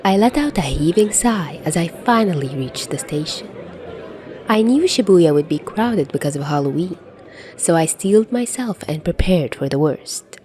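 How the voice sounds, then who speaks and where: A woman performs a young woman commuter in an audio fan fiction story. She is excitable and incredulous, exclaiming her thoughts with wide swings in pitch as she tells of a supernatural disaster around her. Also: normal speed, a faint crowd, slight background noise, lightly acted. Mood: wry, dismissive. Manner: incredulous, exclamatory inner monologue. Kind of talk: first-person fan fiction narration.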